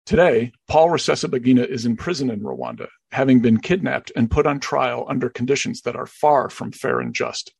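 Male podcast host; gravelly, husky voice; close, dry recording. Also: mid-range voice, normal speed, few pauses, dry recording. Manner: repeats words when starting sentences. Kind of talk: podcast interview hosting.

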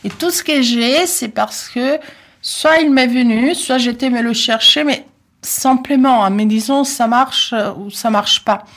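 A woman's voice: fairly high pitch, measured pace. A middle-aged Italian woman with an Italian accent, answering interview questions in a talkative, reflective way with many hesitations. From Italy. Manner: talkative, reflective, with frequent hesitations and soft laughs. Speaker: interview guest. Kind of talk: personal conversational interview.

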